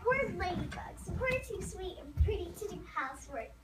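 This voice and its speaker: Woman acting mean vain ladybug sister, very high voice, haughty, storybook stage play, distant mic, noisy recording. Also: lively intonation, normal speed. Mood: bossy. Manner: haughty, scornful, gushing. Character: mean, vain young female ladybug stepsister, bossy. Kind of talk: readers theater stage performance. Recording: noisy, echoey hall from far off.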